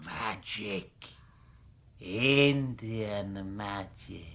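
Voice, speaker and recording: A man's voice: lowish voice, drawn-out words, a bit bright, full character voice. An actor playing a sly, eerie street conjuror in a radio drama, with a theatrical, teasing showman's manner. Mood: mysterious, chanting.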